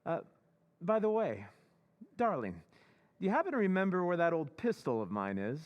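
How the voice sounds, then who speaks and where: A man playing a scheming robot that poses as a husband in an old-time radio drama recreation, smooth and falsely sweet with a menacing edge. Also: on the high side, very animated, moderate pace.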